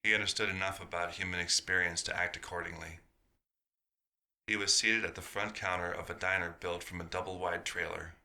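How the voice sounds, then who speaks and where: A man's voice: deep voice, steady tone, brisk, bright, forceful tone, exaggerated voice. A man narrating a scripted story in the third person.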